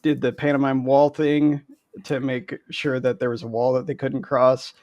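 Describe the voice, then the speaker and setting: A middle-aged man tells anecdotes in a casual style. His pitch is average for a man, and the close headset sound is dry, with little room. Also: little pitch movement, moderate pace, a little mellow, no echo, lo-fi sound. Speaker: player, podcast guest.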